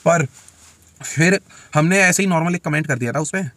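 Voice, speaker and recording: A man's voice: fairly high pitch, moderate pace, dry recording. A man in his twenties answering questions casually, joking and speaking bluntly, recorded in a parked car on a dashboard camera. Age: 20s. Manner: joking, blunt, relaxed storyteller. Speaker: vlogger. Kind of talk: casual Q&A chat. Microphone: camera phone on the dashboard.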